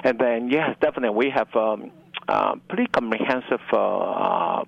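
A middle-aged man with a Hong Kong accent, speaking in halting, fragmented phrases as an interview guest. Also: medium pitch, expressive, gravelly, no room sound, highs cut off. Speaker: university dining director, interview guest. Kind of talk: conversational radio interview.

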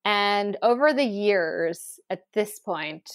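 A woman speaking in an animated, conversational way, full of "like" and "um," as she explains how she built her cooking classes. The sound is dry and close, with no room echo at all. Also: medium pitch, slow. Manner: animated, lively storytelling with many fillers. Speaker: founder of a cooking school, guest. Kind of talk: conversational interview.

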